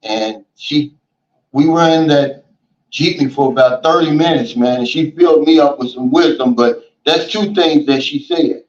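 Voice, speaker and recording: Easygoing male livestream host with a slightly high voice, chatty and folksy; roomy, dull lo-fi sound. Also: normal speed. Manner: relaxed, folksy, often says "man" and "you know". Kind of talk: casual livestream chat, reading viewer comments.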